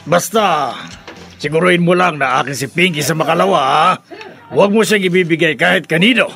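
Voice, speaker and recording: A fairly high male voice playing an insistent, besotted club customer in a radio drama. He sounds possessive, with faint music behind him. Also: a little fast, creaky, fairly bright tone. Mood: insistent, smitten. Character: Manolo, smitten, possessive male club patron. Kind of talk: Filipino radio drama acting.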